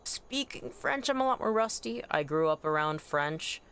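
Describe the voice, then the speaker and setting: Clear-voiced, excitable game streamer, native Anishinaabemowin speaker. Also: medium pitch, moderate pace. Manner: animated, excitable, rambling with self-corrections. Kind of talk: casual livestream chat while gaming.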